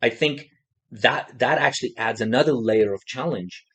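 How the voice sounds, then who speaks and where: A man's voice: medium pitch, moderate pace, a bit bright, husky. A man hosting a podcast who talks in a casual, rambling way, often restarting and asking "right?". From Poland. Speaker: podcast host. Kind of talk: casual discussion.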